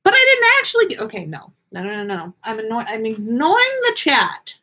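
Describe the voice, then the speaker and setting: A woman reading a story aloud in a theatrical, expressive way with drawn-out words and pauses, as the host of a livestream. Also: on the high side. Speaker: podcast host reading listener fan fiction on a livestream.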